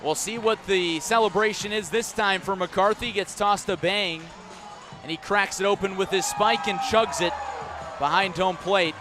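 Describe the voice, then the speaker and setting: A man doing live radio play-by-play of a baseball game, moving between clipped calls and conversational asides. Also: high-pitched. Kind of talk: live radio sports commentary. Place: outdoor ballpark broadcast.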